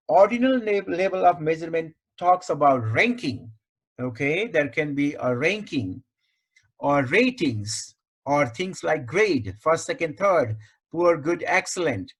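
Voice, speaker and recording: A man giving an explanatory lecture as a tutor, speaking in short, deliberate phrases and repeating key points. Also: a little high. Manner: deliberate, repetitive teaching with short, segmented phrases. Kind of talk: explanatory online lecture over slides.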